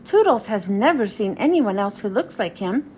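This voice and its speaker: A woman's voice: medium pitch, sing-song, moderate pace, mellow. A woman reading a children's storybook aloud as narrator, expressively, as if to young children. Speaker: children's book reader. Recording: faint background noise.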